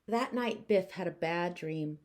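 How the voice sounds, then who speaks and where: A woman teacher narrating a children's storybook aloud with expressive, deliberate phrasing. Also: lowish voice.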